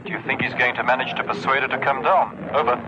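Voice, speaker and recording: An actor playing a calm, official police controller heard over a radio in a vintage radio drama. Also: male voice, medium pitch, quick pace, crowd noise. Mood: official, concerned. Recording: dull police radio, loud noise.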